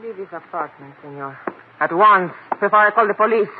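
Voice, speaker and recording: A woman's voice: medium pitch, animated, fairly quick, lightly acted. A woman playing a guarded, commanding woman of intrigue in a 1950s radio drama, speaking formally and precisely. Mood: commanding, hostile. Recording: tinny old radio with light noise.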